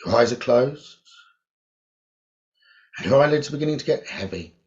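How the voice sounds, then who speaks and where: A man leading a guided meditation, speaking slowly and soothingly, repeating his gentle instructions with long pauses. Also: medium pitch, expressive. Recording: dull lo-fi recording.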